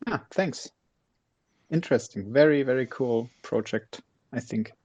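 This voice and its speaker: A man poses a curious question in an online chat. His voice is warm and mellow, and the call audio is muffled and lo-fi. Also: medium pitch, a little slow, husky, no room sound. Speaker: listener asking a question.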